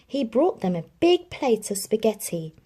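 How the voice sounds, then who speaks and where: A woman reading a children's picture book aloud, telling the story expressively with dramatic pauses. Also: fairly high pitch, very animated, mellow, husky. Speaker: narrator.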